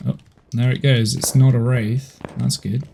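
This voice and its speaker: A man's voice: mid-range voice, little pitch movement, measured pace, gravelly, mellow, a little hiss or hum, poor audio. A man in his thirties with a head cold, chatting casually and rambling as he streams a horror game from home. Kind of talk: casual gameplay chat on a live stream.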